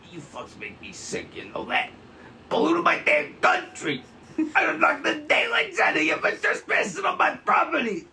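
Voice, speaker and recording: A man playing a husky, hostile ex-bodyguard who is stubborn and foul-mouthed, in a comedic script table read. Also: very high voice, wildly swooping pitch, normal speed, bright, forceful tone, slight background noise, full character voice, rough recording. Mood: angry. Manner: belligerent, slangy, swearing.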